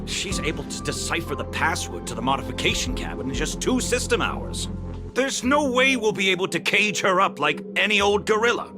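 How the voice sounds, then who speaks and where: An actor playing an earnest, excitable male scientist in a video game, theatrical, eager and easily flustered. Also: high-pitched, a little fast, over music. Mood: defensive. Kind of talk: scripted video game voice acting.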